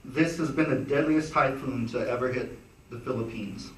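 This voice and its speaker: A man hosting a press conference, delivering formal prepared remarks in a measured way. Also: medium pitch. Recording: echoey room, distant mic.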